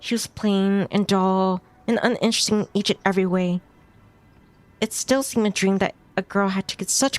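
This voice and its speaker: A woman streamer reading a visual novel aloud as story narration, now and then stumbling over a word and rereading it. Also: mid-range voice. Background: music behind the voice.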